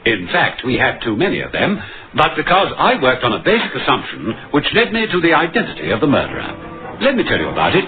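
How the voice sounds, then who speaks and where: Grave, expressive police inspector, muffled recording. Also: male voice, medium pitch, brisk, slight background noise. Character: measured, dryly grave police inspector narrating his murder case. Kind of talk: 1950s radio crime drama acting and narration. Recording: muffled old radio recording with music.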